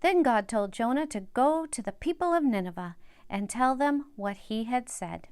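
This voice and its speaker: A woman's voice: a little high, expressive, normal speed, a little mellow, clear, resonant tone. An older woman reads a children's Bible story aloud, speaking directly and simply to young listeners.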